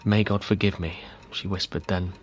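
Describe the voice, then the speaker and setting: An actor playing a sober man reading out a grim account in a measured, matter-of-fact way in a radio drama. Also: low voice, flat delivery. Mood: solemn.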